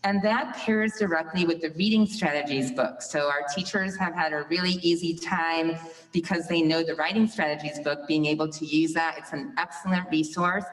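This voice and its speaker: A woman from a school staff presenting to a school board in an upbeat way, with a loud teacher voice. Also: low voice, moderate pace. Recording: echoey hall from far off.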